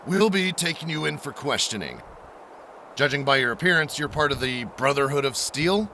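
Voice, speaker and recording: Commanding post-apocalyptic officer male actor: forceful, bright mid-range voice, brisk and wary, faint noise behind. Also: on the high side, big pitch swings, full character voice. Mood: stern, commanding. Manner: brisk, authoritative, giving orders. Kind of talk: audio drama acting.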